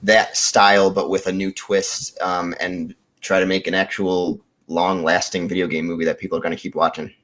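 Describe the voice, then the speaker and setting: A man making his case as a podcast contestant in casual talk, halting and often repeating his words. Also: low voice, level tone, fairly bright tone. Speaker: podcast contestant pitching movie ideas.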